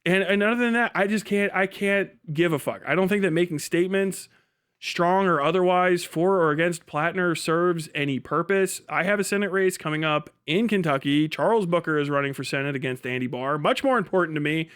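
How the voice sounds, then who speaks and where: A man's voice: a little high, a little fast, fairly bright tone, clear, resonant tone. A man giving casual, opinionated political commentary as a livestream host, blunt and full of swearing, rambling and restarting mid-thought.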